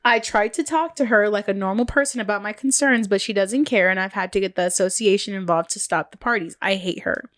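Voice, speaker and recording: A woman's voice: mid-range voice, brisk, hardly any pauses, fairly bright tone. Nasal woman reading stories aloud. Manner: laughs often, reads listener stories. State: nasal, getting sick. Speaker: podcast co-host.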